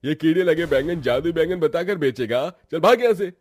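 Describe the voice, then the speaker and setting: A man's voice: a little high, lively intonation, brisk, pitched above the performer's own voice. A man playing a scornful, swindling goldsmith in a cartoon story, brash and insulting. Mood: furious, scornful. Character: gruff male goldsmith, scornful self-confessed swindler.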